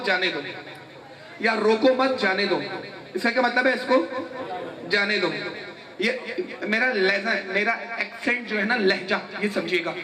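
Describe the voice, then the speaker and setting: High-voiced man preaching with shouts. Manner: rhetorical questions, repeats phrases, rises to shouts. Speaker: majlis speaker. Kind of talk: religious sermon to a gathering. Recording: echoey, distant mic, crowd behind.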